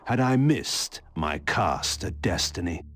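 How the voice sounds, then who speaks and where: An actor playing a proud, sardonic vampire lord in a dark fantasy game, speaking in a measured, grandiloquent way. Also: male voice, sits low, lively intonation, exaggerated voice. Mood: brooding, grandiose. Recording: clear background noise under the voice.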